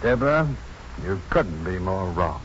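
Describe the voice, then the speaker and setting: Low-voiced, wry doctor in old radio drama. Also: male voice, sing-song, unhurried. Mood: tender. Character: confident, wry, tender doctor and devoted new husband. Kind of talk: 1950s radio drama acting. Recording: dull, faintly noisy 1950s radio broadcast.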